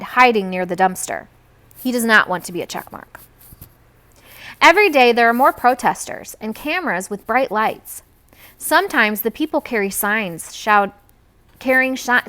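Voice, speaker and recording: A woman reads a children's book aloud. Her voice is bright and a little high, and her pitch moves in a lively, expressive way. She narrates as a storyteller would. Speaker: read-aloud reader.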